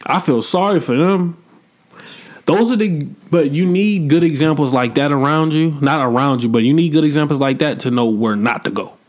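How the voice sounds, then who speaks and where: A man's voice: fairly high pitch, moderate pace, a little mellow. Man, twenties, podcast host, casual chat, blunt, slangy, reflective. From Midwest United States. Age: 20s.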